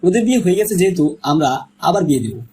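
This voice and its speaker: A man's voice: sits high, sing-song, fast, warm tone. A man acting practical, matter-of-fact male characters in an audio story. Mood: settled. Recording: faint background noise.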